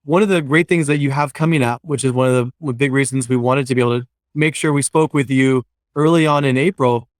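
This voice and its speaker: Smooth-voiced man hosting an interview. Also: medium pitch, fairly even intonation, quick pace, no room sound, clean studio sound. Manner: friendly, fluent, with hesitant restarts. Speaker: interview host. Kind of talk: virtual interview.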